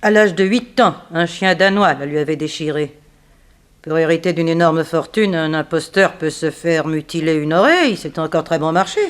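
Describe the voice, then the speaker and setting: An actress playing a proud, composed woman under suspicion in a 1960s French radio drama, speaking in a formal, measured, self-controlled way. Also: deep voice, natural voice. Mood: assured. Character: composed, proud female companion under suspicion, coolly reasoning.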